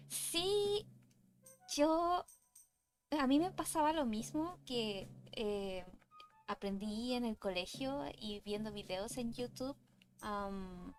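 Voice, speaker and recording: A woman whose first language is Cantonese, chatting casually and hesitantly while streaming herself drawing. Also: fairly high pitch. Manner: hesitant, nervous, halting with restarts. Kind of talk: casual livestream chat.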